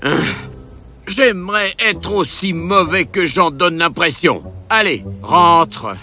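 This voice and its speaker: A man playing a gruff, reluctant old hermit in an acted storybook tale, curt and grudging. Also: sits high, animated, slow, bright, forceful tone, faint music behind, exaggerated voice. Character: gruff old male hermit, reluctant but soft-hearted.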